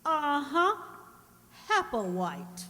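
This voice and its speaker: A playful woman as a high-society girlfriend in a radio play, coy and a little jealous. Her highish voice swings animatedly, unhurried, in a reverberant hall with music. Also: huge pitch swings, heavily acted. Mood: teasing jealousy. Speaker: actress playing the detective's girlfriend. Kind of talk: old-time radio drama acting.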